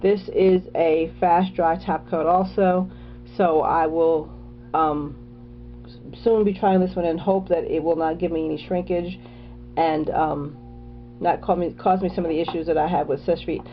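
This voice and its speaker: A woman's voice: fairly low pitch, fairly even intonation, measured pace, muffled recording, faint music behind. A woman casually talking through her nail care products, chatty, with many fillers and restarts. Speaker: beauty vlogger.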